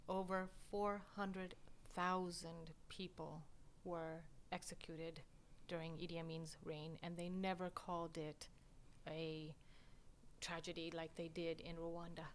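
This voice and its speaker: Earnest middle-aged woman, lowish voice. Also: unhurried, a little hiss or hum. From born in England, raised in Canada. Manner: earnest, explanatory, animated when quoting others. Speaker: guest, photographer and nonprofit founder. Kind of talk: conversational interview.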